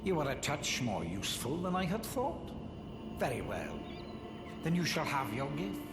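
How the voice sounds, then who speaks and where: A man's voice: on the high side, very animated, moderate pace. A man acting as a haughty, condescending sorcerer in a fantasy video game, with a pompous, theatrical drawl. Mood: smug condescension. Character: haughty, condescending male sorcerer, sly and scornful puppet-maker. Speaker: preceptor of sorcery. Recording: echoey reverberant hall with game music.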